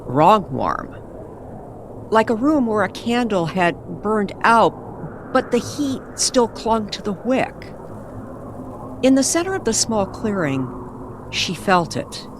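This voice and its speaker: A woman narrating an eerie story in old-time radio style, with dramatic, weighted pauses. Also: medium pitch, sing-song, noisy background.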